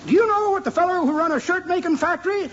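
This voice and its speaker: A man's voice: very high-pitched, quick pace, a bit bright, a little hiss or hum. An actor playing a folksy old man who tells corny pun jokes in a 1950s radio comedy. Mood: joking. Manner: tells corny pun jokes, cackles at his own gags. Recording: dull 1950s radio broadcast, studio audience.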